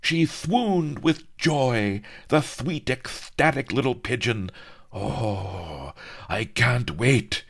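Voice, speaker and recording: A man's voice: mid-range voice, animated, very slow, vocal fry, husky, pitched above the performer's own voice, full character voice. A man voicing a cruel, blustering male viceroy who screams, cackles and gloats in a theatrical storybook reading. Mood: gloating.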